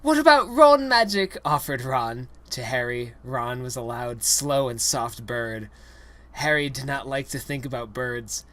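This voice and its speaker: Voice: mid-range voice, fairly even intonation, measured pace, fairly bright tone. A young adult with a mid-range voice reads a silly parody story aloud. The narration is theatrical and exaggerated, played for comedy. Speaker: reader.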